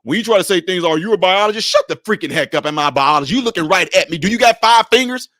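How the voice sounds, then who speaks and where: A man giving animated casual commentary on a video clip, with pointed rhetorical questions. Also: sits high, quick pace, dry recording, studio quality. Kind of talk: casual live-stream commentary.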